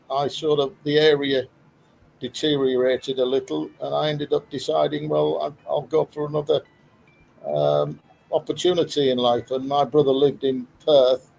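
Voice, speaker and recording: A middle-aged man from Guyana with a gravelly, slightly raised voice, recounting his past in a loose, rambling way over a video call. A faint hiss of background noise sits under him. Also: fairly high pitch, darkish tone, low-quality recording. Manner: rambling, storytelling with fillers. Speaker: guest, storage business owner. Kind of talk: casual video-call interview.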